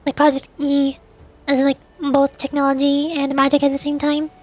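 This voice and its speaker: A woman's voice: sits high, monotone, normal speed, darkish tone. A woman livestreamer chatting casually, a little hesitant and correcting herself as she goes. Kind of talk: casual livestream chat, reading out chat messages.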